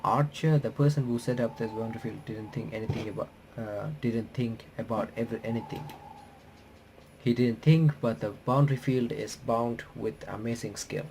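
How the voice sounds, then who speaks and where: A man reads a visual novel aloud in a casual playthrough style. His delivery is halting, with stumbles and reread phrases, at an average male pitch. There is some faint noise behind him, as in a home recording. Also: steady tone, vocal fry. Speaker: gaming YouTuber reading a visual novel aloud. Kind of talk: casual playthrough, reading game text aloud with reactions.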